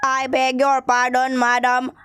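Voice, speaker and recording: A woman's voice: sits high, monotone, a little slow, a bit bright, clean tone, dry recording, studio quality, voice pushed down. A woman playing a puzzled, courteous telephone operator, formal and hesitant, in an amateur radio play. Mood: puzzled, polite.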